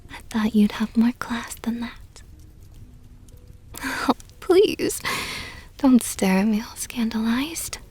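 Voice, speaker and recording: Woman acting a breathy, whispering seductive vampire countess. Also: fairly high pitch, expressive, dark tone, exaggerated voice. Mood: flirtatious, coaxing. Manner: slow, coaxing, mockingly sweet. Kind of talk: ASMR roleplay.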